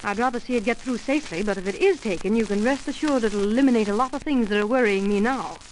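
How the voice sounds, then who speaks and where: An actress playing a composed, decisive woman investigator in an old-time radio drama, crisp, polite and commanding. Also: on the high side, fast talker, a little mellow, lightly acted. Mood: confiding, earnest. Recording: slightly noisy old radio broadcast.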